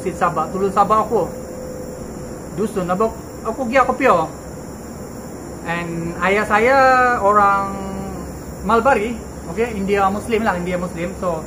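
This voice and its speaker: A young Malaysian man with a Malaysian accent talks to his viewers in a chatty, reassuring way, and his voice sits high. He records on a handheld camera mic outdoors in the countryside at night. Loud insect and night noise surrounds him. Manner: chatty and reassuring, mixes in Kadazan Dusun phrases. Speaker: vlogger. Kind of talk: casual talk to camera.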